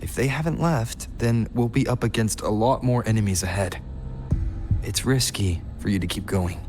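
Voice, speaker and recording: An actor playing a calm, careful male companion in a video game, measured and considerate. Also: lowish voice, slight background noise, close to an everyday voice. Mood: cautious concern.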